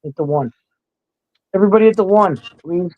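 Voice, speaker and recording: A man co-hosting a live online panel, talking in a relaxed, hesitant way that often trails off. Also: high voice, brisk, dry recording, muffled recording. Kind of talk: casual live panel chat.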